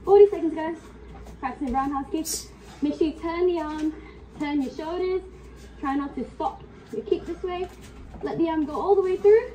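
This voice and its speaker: A woman leading a kickboxing class at home, giving brisk coaching cues while sniffly from a cold, recorded on a far camera. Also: high voice, warm tone. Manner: coaching cues, counting time, brisk commands. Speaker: fitness instructor. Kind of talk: live kickboxing class instruction. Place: home workout room. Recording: distant camera mic in a room with faint noise.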